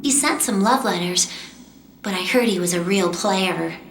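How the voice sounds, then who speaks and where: A woman voicing a determined, composed young female magician in a fantasy game, earnest and measured. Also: mid-range voice, noisy background, natural voice. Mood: wistful, reflective. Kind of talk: English-dubbed fantasy game cutscene acting and narration. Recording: roomy echo, game music behind.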